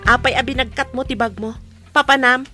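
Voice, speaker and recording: A woman's voice: high voice, steady tone, brisk, soft background music. A woman with an Ilocano accent playing a jealous, domineering middle-aged aunt in a radio drama, nagging and scolding. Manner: sharp, nagging.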